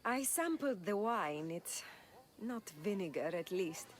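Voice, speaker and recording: An actress playing a dry, practical theatre worker in a video game, wry and understated. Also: female voice, medium pitch, expressive, measured pace, slight background noise, close to an everyday voice. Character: dry, practical woman helping run a theatre troupe. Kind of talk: video game dialogue acting.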